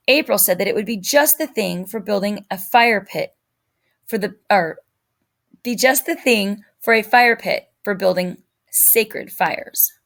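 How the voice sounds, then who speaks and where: Woman, teacher, storybook narration, read-aloud for children, mid-pitched voice.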